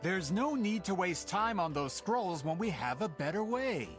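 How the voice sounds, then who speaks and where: A pushy, self-assured author in a video game, fussing and impatient when he is blocked. His voice runs a bit high and swings expressively. Faint game music plays underneath. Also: sing-song, normal speed. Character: pushy male author chasing a story.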